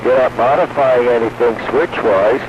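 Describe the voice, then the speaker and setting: A man's voice: medium pitch, normal speed, dull audio. A man chatting casually about technical matters over two-way radio, explaining things insistently like a hobbyist telling stories. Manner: explanatory, insistent, tells anecdotes. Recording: noisy band-limited two-way radio audio.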